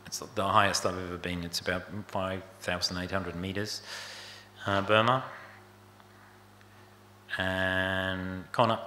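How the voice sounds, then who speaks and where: An older man from Australia talks his way through a slide show, pausing on fillers and letting phrases trail off. His voice is low and even, with a bright edge. The sound rings in a roomy hall with faint noise behind it. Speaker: photographer giving an artist talk. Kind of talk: unscripted slide talk.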